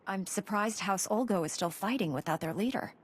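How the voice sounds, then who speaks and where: A woman acts out a noble warrior-knight from a sci-fi game. Her medium-pitched voice is calm and assured, her speech formal and resolute. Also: fairly quick, husky, close to an everyday voice. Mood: composed, concerned. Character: composed, noble female Jedi knight, dutiful and resolute. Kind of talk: video game voice acting.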